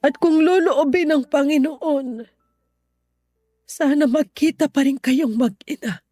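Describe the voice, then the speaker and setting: A woman playing a sick, tender grandmother of about sixty in a Tagalog radio drama, pleading gently and sorrowfully. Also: high voice, slow, no room sound, faint music behind. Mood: tearful longing.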